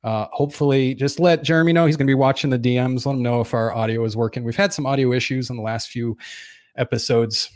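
A man's voice: mid-range voice, fairly even intonation, fast talker, darkish tone, dry recording, clean studio sound. A man hosting a casual live show, rambling and joking about himself. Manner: joking, rambling, self-deprecating. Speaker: host. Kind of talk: casual livestream chat. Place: small studio.

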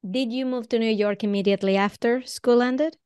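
A woman's voice: fairly high pitch, steady tone, fairly quick, darkish tone, clear, resonant tone. A woman from Venezuela with a Venezuelan Spanish accent, hosting a podcast and asking long, winding interview questions. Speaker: podcast host. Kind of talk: conversational interview.

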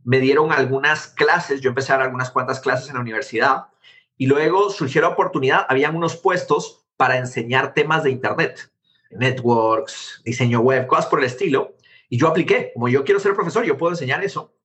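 A man from Guatemala in his thirties, an interview guest telling his story in a quick, animated conversational way. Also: medium pitch. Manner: animated, quick, jumps between anecdotes. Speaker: interview guest, tech founder.

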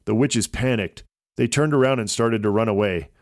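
A man's voice: on the low side, normal speed, no echo, studio quality. A man narrating a scripted children's story as a podcast host.

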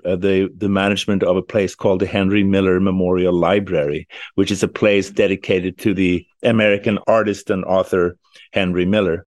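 A middle-aged or older man with a Swedish accent, originally from Sweden, telling stories in an interview and pausing and restarting as he explains. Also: on the low side, darkish tone, no room sound, clean studio sound. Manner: thoughtful, with small restarts while explaining. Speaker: library director interviewed. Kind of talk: conversational interview storytelling.

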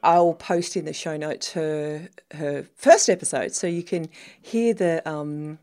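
A woman hosting a podcast interview, chatty and quick to laugh. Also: low-pitched. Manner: chatty, laughs often, warm-hearted banter. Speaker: podcast host.

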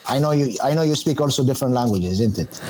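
A man's voice: mid-range voice, lively intonation, fast talker, warm tone, slight background noise, poor audio. A man from Saudi Arabia whose first language is Arabic, speaking in a hesitant conversational interview with many fillers and restarts. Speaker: guest, consultant based in Jeddah.

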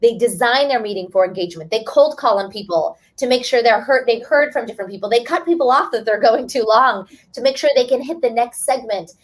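A woman's voice: a little high, a little fast, few pauses. A woman author speaking as a guest in a webinar, explaining earnestly and restarting phrases now and then. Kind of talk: webinar talk.

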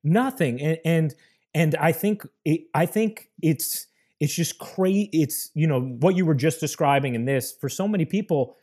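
A man hosting a casual interview who asks long, halting questions full of restarts and fillers. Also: a little high, a little mellow. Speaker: host.

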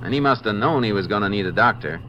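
Man acting a deep-voiced, determined Texas lawman. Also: lively intonation, lightly acted. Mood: resolute. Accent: American. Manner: measured, matter-of-fact, authoritative. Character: Texas Ranger investigator, shrewd, determined, dry-humored cowboy lawman. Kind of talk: 1950s radio western acting. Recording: muffled, noisy old 1950s radio recording.

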